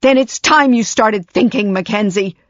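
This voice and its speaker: A woman's voice: mid-range voice, moderate pace, no echo, highs cut off, natural voice. A woman voicing a frantic mother scolding her teenage daughter. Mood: furious. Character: frantic mother, furious with fear.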